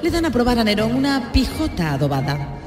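Male host-narrator for radio comedy: lively pitch swings, long reverb, music bed. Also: fairly quick. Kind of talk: comic radio storytelling.

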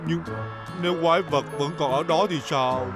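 A man's voice: a little high, normal speed, over music, lightly acted. A man voicing a timid, jumpy talking vehicle in a Vietnamese cartoon dub, hesitant and full of exclamations. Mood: scared.

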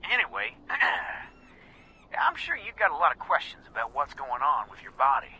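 Rambling, avuncular male game informant: folksy, piercingly bright, highly varied intonation. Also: very animated, measured pace, husky, exaggerated voice, rough recording. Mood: awkward, earnest. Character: folksy, rambling male resistance insider, self-styled old hound dog. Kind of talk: video game phone-call dialogue.

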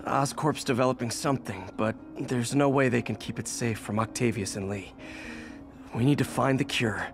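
Male actor as young American superhero, earnest, quick-witted, leaderly, game cutscene, clear background music. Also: medium pitch, fairly even intonation, close to an everyday voice. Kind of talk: video game cutscene acting.

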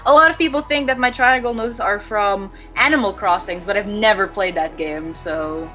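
A woman's voice: mid-range voice, normal speed, sharp tone, lo-fi sound, soft background music. A woman talking casually as a podcast guest, rambling with lots of fillers and restarts. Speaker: podcast guest, webcomic creator.

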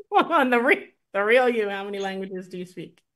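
A woman in her thirties hosting a webinar from home over a video call, presenting a product with excited, gushing talk and many fillers. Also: medium pitch, moderate pace. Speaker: webinar host. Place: home room, indoors. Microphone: video call webcam.

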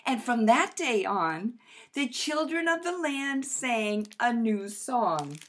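An older woman in her sixties with a high voice tells a story to young children. Her delivery is expressive, playful and unhurried. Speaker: storyteller reading to schoolchildren. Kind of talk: picture-book read-aloud. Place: small indoor room with brick wall.

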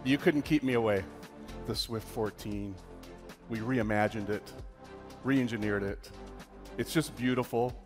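A man's voice: lowish voice, a little slow, pauses often, music in the background. A man appearing as a partner guest on a keynote stage, speaking in a hearty, effusive way. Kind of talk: live stage remarks.

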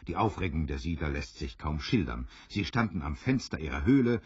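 A man narrating an adventure radio play as a grave, expressive storyteller who builds suspense. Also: a bass voice, fairly quick, slightly rough, no echo, heavily acted. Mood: suspenseful. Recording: slightly dull, dry studio recording.

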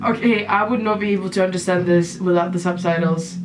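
A woman's voice: on the low side, steady tone, a little fast, very noisy. Sarcastic young woman with Russian accent. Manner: exasperated, sarcastic, laughs often. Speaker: vlogger. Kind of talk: reaction video chat. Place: small room indoors.

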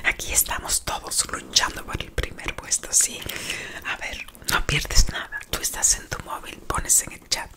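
Playful whispering woman, ASMR streamer. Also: moderate pace. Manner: whispering, affectionate, playful, repeats words softly. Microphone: close microphone.

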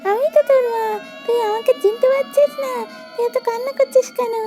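A woman's voice: very high voice, steady tone, a little fast, voice pushed up. A woman voicing a grieving madwoman who begs and coaxes a little girl, taking her for her own lost daughter. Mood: tearful urging. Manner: coaxing, pleading, tearful.

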